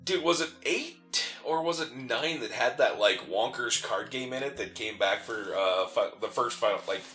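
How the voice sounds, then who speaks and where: A man chatting casually as co-host of a video game playthrough, telling stories in a rambling, animated way. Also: medium pitch, moderate pace, soft background music. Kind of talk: casual chat over gameplay.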